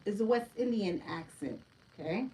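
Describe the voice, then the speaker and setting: A woman's voice: medium pitch, animated, moderate pace, darkish tone, distant mic, slight background noise, rough recording. A woman giving a casual livestream oracle card reading, chatty, often tagging her sentences with a question and addressing her listeners as family. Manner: chatty, keeps asking "right?" and calls listeners "family".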